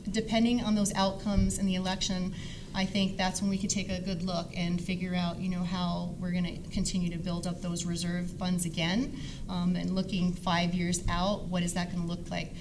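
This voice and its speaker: A woman's voice: on the low side, level tone, normal speed. A middle-aged woman speaking informally as a council member at a candidate forum, rambling in a conversational way. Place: public forum, live event. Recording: noisy live room sound.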